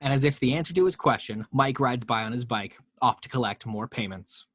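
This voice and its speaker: A man reads out screenplay directions as scripted narration. His voice sits at an average pitch, and the recording is dry with very little room in it. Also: a little fast, fairly bright tone, dry recording. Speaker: reader of action descriptions in a table read.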